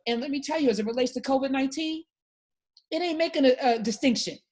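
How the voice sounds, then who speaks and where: A woman's voice: sits high, fast talker, a little mellow. A woman hosting a talk show, speaking with emphatic, passionate conviction in casual political discussion.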